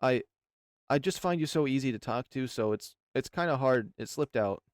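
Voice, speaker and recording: A man voicing a shy, nervous, gentle young animal character in a visual novel, speaking in a hesitant, apologetic, soft-spoken way. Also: mid-range voice, animated, normal speed, no room sound, clean studio sound, lightly acted. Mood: sheepish, apologetic.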